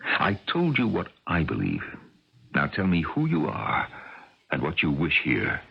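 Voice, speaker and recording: An actor playing an earnest, middle-aged American scientist father in a radio drama, deliberate and probing. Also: rumbling low, wildly swooping pitch, vocal fry, breathy edge, heavily acted. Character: earnest, anguished scientist father bargaining for his family. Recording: muffled vintage radio drama recording.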